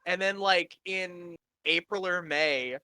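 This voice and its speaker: A young man from Canada hosts a loose, casual chat with a high, bright voice that can pass for a woman's. He talks in a crisp, clear tone with a very dry, close sound. He rambles, swears freely and laughs easily. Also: no room sound. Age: 20s. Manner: rambling and profane, quick to laugh. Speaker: podcast host.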